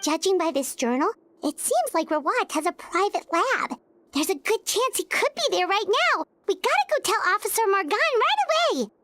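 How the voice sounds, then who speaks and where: A woman voicing a tiny childlike fairy companion in a video game, perky and eager, with a squeaky, exclamatory delivery. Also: sing-song, normal speed, fairly bright tone, exaggerated voice. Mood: excited.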